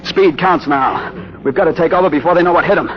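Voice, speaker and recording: Man as a highish-voiced determined mutineer, old radio. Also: fast talker, lightly acted. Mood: urgent commanding. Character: determined young spaceman leading a mutiny, urgent and commanding. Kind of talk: 1950s radio drama acting. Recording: noisy muffled 1950s radio recording.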